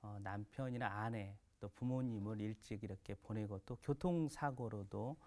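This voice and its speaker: A man, a pastor, telling stories as a guest on a studio talk show, chatty and quick to laugh. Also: on the low side, a little mellow. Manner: chatty, laughs often, frequent fillers. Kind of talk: relaxed talk-show storytelling. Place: broadcast studio.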